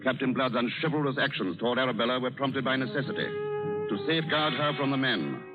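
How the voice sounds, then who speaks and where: A man's voice: mid-range voice, animated, fast. A man hosting and narrating a radio play in a formal 1930s announcing style. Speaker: host and narrator. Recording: tinny vintage radio, loud music behind.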